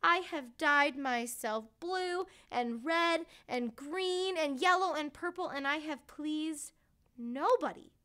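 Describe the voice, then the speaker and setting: A woman's voice: sits high, slow, sharp tone, pitched above the performer's own voice, exaggerated voice. A woman voicing a fretful young male turkey who wails in a sing-song lament. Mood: rueful.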